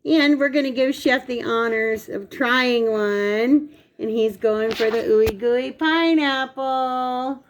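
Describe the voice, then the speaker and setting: A woman hosting a home cooking video, her voice dry and raspy today, chatting casually as she explains each step. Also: high-pitched, steady tone, takes it slowly, slight background noise. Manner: chatty, explains steps while working. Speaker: cooking channel host. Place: home kitchen.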